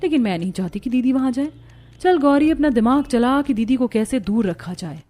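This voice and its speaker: Woman voicing a scheming, whiny young wife, high-pitched. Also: expressive, brisk, warm tone. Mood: plotting. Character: scheming, jealous young newlywed wife, sweetly deceitful. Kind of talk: animated family drama dialogue.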